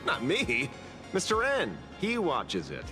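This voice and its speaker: A man's voice: fairly high pitch, huge pitch swings, takes it slowly, heavily acted. A man playing a sly, teasing veteran investigator in a video game, with a casual, wry and theatrical delivery. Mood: playful. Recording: music behind the voice.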